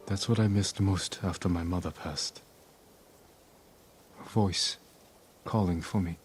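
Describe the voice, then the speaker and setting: A solemn young samurai lord with a low voice over prominent music. He is measured and respectful, understated in a cinematic game style. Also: fairly even intonation, darkish tone. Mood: quiet sorrow. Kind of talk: cinematic video game acting.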